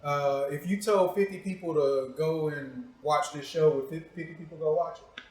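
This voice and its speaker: A man's voice: fairly high pitch, steady tone, normal speed. Teasing American man hosting, echoey room. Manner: informal, teasing, playful. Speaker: show host interviewing a guest. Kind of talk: casual, joking interview chat.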